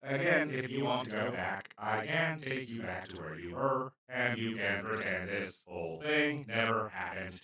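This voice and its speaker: A man playing a kind, awkward alien in a comedic audio roleplay, speaking to the listener in a gentle, earnest, apologetic and slightly formal, rambling way. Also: lowish voice, lively intonation, moderate pace, few pauses. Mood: apologetic, concerned. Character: kind, awkward, well-meaning male alien abductor. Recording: roomy, echoing, distant-sounding voice.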